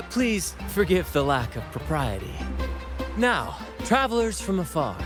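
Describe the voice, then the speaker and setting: An actor playing a flamboyant, courtly captain of a seafaring troupe in a video game, speaking in grand theatrical flourishes. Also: male voice, a little high, huge pitch swings, slow, full character voice. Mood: playful, charming. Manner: grandiloquent, courtly, rhyming showman. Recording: music behind.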